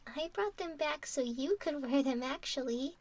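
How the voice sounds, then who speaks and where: A woman voicing a gentle, curious young mermaid in a soft, often whispery ASMR roleplay, soothing and polite. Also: high-pitched, normal speed. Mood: friendly, admiring.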